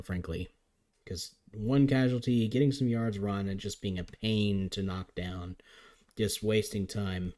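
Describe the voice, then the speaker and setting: A man with a lowish voice calls a game live, chatty and upbeat as he runs through plays. His voice is turning a little raspy from long talking. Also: darkish tone. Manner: chatty, upbeat play-by-play with frequent restarts. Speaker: league commentator.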